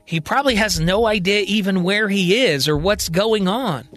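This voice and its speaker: A man's voice: high-pitched, normal speed, fairly bright tone, no room sound. A man voicing a reasonable, doubtful man who explains things tentatively in a science-fiction story.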